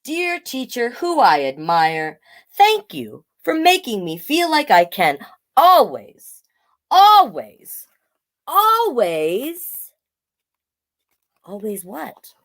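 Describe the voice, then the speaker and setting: Woman, storytime host, conversational, highly varied intonation, questioning, animated. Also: very slow, pauses often. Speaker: storytime host, drag performer. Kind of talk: chatty live storytime hosting for children.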